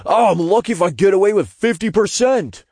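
A man's voice: sits high, lively intonation, fairly quick, darkish tone, husky, no echo, voice pushed up, exaggerated voice. A man voicing a small male imp, a whiny, slangy slacker bro in a fantasy audiobook. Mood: whiny protest.

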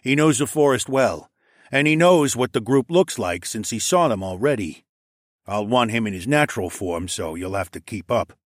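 A man's voice: mid-range voice, animated, normal speed, dry recording, close to an everyday voice. A commanding, practical male alpha of a wolf pack, played by a male narrator. He speaks bluntly, as a leader in a fantasy audiobook, with a very dry, close sound.

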